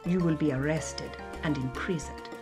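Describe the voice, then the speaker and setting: Woman acting hostile male revolutionary, cold, menacing, lowish voice, music underneath. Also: moderate pace, lightly acted. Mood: cold, threatening.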